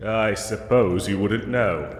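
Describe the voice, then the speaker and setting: A man playing a pompous, gallant, condescending yet soft-hearted knight in a fantasy audio roleplay, with formal, archaic, theatrical diction. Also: lowish voice, takes it slowly. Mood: curious. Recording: echoey cave-like reverb, music underneath.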